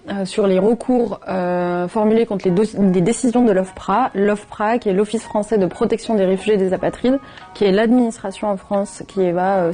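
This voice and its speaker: A woman in her twenties introducing herself in a measured way, pausing often with fillers. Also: medium pitch, dark tone. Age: 20s. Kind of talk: self-introduction in a video.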